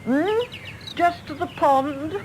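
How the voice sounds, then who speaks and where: An actress playing an anxious, solicitous older mother in a radio play, hesitant and gently coaxing. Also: high-pitched, steady tone, takes it slowly, background noise.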